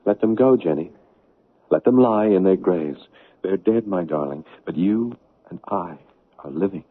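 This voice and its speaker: An actor playing an anguished, insistent young fiancé in 1970s radio drama, intense and swinging from forceful to hushed. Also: male voice, sits low, animated, unhurried. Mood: urgent pleading. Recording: tinny, noisy 1970s radio recording.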